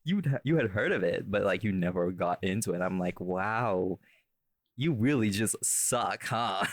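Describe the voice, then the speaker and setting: Male co-host, relaxed slower delivery, informal and drily teasing, very dry close recording. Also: lowish voice, no room sound. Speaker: podcast co-host, voice actor. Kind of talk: casual behind-the-scenes podcast chat.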